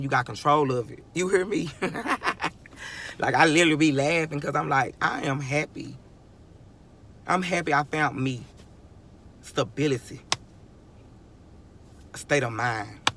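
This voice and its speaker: A man's voice: medium pitch, sharp tone, a little hiss or hum. A man in his twenties giving a rambling, emphatic motivational monologue, often chuckling and restarting sentences. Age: 20s. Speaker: vlogger.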